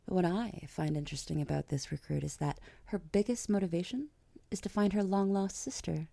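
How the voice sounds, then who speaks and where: A woman's voice: fairly low pitch, brisk, vocal fry, mellow, no room sound. A woman playing a haughty, powerful vampire queen in an ASMR audio drama, with a languid, condescending, sardonic manner. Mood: sly, teasing. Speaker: voice actor in an audio roleplay.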